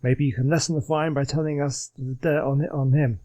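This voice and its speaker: A man's voice: mid-range voice, fairly even intonation, a little fast, warm tone. A man giving casual commentary as he plays through a video game. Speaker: gaming host.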